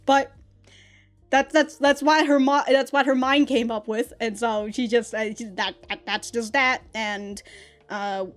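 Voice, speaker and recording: A woman's voice: fairly high pitch, measured pace, faint music behind. Chatty young woman reviewing an anime. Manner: rambling, animated, laughs often, many restarts. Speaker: anime reaction host.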